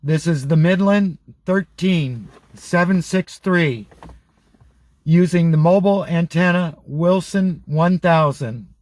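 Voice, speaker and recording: A man presenting a radio test, speaking in deliberate, repeated test phrases. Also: fairly high pitch, darkish tone, dry recording. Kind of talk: radio check test announcements, demonstration.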